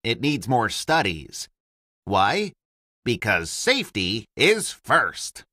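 A woman with a very deep voice reads educational narration in simple statements. Her delivery is extremely slow, with big swings in intonation. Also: very animated, bright, forceful tone, a little hiss or hum.

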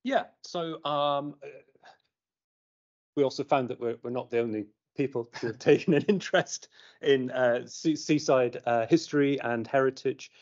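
British male academic presenter, informal and halting, mid-pitched voice, muffled lo-fi recording. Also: a little mellow. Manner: halting, often repeating words. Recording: muffled lo-fi online meeting audio.

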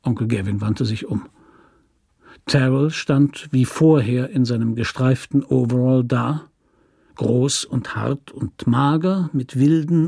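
A man's voice: medium pitch, creaky, warm tone, breathy edge, no echo, studio quality. A man narrating a literary radio story as a scripted reading.

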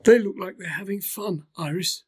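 A timid little elephant boy from a children's storybook, played by an older man. The voice is pitched high and husky, hesitant and full of worry. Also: moderate pace, darkish tone. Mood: shy, worried. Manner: hesitant, anxious questioning. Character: timid, lonely young male baby elephant.

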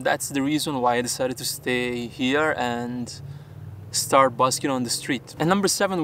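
A man's voice: mid-range voice, a bit bright, a little hiss or hum. A young man from Peru, a native Spanish speaker, answering viewer questions in careful, earnest English while sitting outdoors by the water. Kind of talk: casual vlog Q&A.

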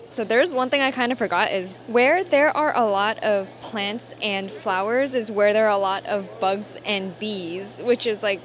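A young woman in her twenties, chatting casually and upbeat in a travel vlog on a lapel mic. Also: medium pitch. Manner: chatty, upbeat, rambling with many "like"s. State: sniffling after a shower. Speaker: travel vlogger. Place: hotel room, indoors.

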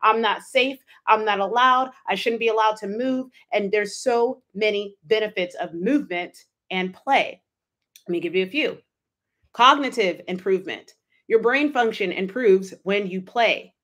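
Woman in her 30s, life coach doing a solo podcast, emphatic and animated, close studio mic at home. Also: medium pitch, unhurried. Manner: animated, emphatic, acts out quoted inner voices. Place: home office. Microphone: studio mic with pop filter, wearing headphones.

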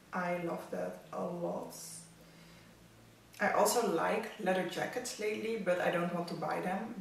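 A woman's voice: lowish voice, a little hiss or hum. Chatty Dutch-accented woman in an echoey room. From Netherlands. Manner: chatty, opinionated about outfits. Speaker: fashion vlogger. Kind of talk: casual talk to camera. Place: living room at home. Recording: echoey room, mic at a distance.

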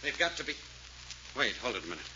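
Male actor as adventurer detective, authoritative, clipped, animated pitch, old radio drama, dull noisy transcription. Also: quick pace, natural voice. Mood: tense, hushed. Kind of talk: 1940s-50s radio drama acting.

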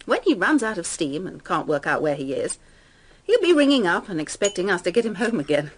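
A woman's voice: on the low side, very animated, brisk, breathy edge, low-quality recording. An actress playing a middle-aged stepmother in a British radio drama, emotionally fragile yet brave, with a British accent. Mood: brisk, fussing.

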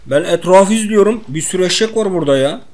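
A man acts out a clumsy comic everyman in a video game role-play. He is high-pitched, slangy and given to whining complaints. The delivery is playful and improvised. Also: expressive, fast talker, darkish tone. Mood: easygoing, slangy.

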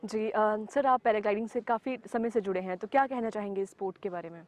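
A woman's voice: mid-range voice, quick pace. A woman asking polite, hesitant interview questions outdoors. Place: open grassy field in the mountains.

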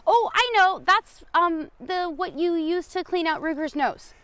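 Woman, high voice, very lively intonation, chirpy eager kids' show host, playful. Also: a little slow. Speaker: children's show host in costume. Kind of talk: playful children's presenting. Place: outdoors in a grassy forest clearing.

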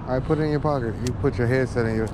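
A man's voice: mid-range voice, steady tone, very fast. Insistent man questioning someone outdoors, noisy. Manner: insistent, repeats questions and accusations. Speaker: host confronting a man on camera. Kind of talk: confrontational street questioning. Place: large open parking lot at night. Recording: very noisy outdoor action-camera audio.

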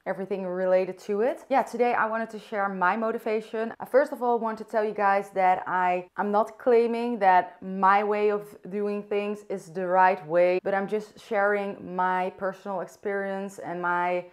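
A young woman from the Netherlands with a Dutch accent, speaking English as a second language in a soft, thoughtful personal vlog, pausing to search for words. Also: mid-range voice, fairly even intonation. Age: young adult. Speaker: vlogger. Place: bright room indoors by a window.

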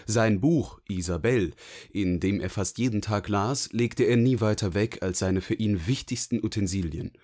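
A man reading scripted narration in a measured way. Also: lowish voice, darkish tone.

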